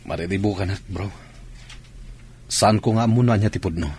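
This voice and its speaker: A man's voice: on the low side, moderate pace, darkish tone, slight background noise, close to an everyday voice. An actor playing a hurt, bitter, brooding man in a radio drama, speaking in a grave, solemn manner. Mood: troubled.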